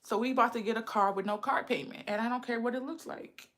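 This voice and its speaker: A woman's voice: on the high side, fairly even intonation, fast talker, fairly bright tone. A woman in her thirties with a lisp, chatting casually with viewers on a livestream. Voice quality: says she has a lisp. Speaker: livestream host.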